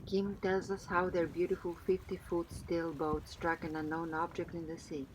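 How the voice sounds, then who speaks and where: A woman giving calm, scripted storytelling narration for a sailing vlog. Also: fairly low pitch, normal speed, noisy background.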